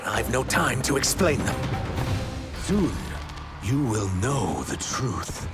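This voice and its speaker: A man voicing a proud, defiant reptilian fugitive warrior in a fighting game, speaking gravely and formally. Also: mid-range voice, big pitch swings, slow pace. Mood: resolute. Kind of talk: cinematic fighting game acting. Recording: loud cinematic music behind.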